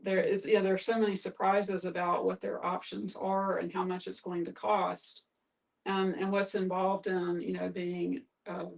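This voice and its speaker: Gravelly-edged woman, distant mic. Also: on the low side, level tone, moderate pace. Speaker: social worker guiding a discussion. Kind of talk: thoughtful group discussion.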